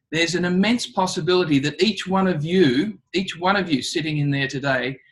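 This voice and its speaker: A man's voice: fairly high pitch, a bit bright, poor audio. Earnest Australian man giving a talk. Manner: earnest, deliberate emphasis. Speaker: guest speaker, leadership trainer. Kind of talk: motivational talk to students.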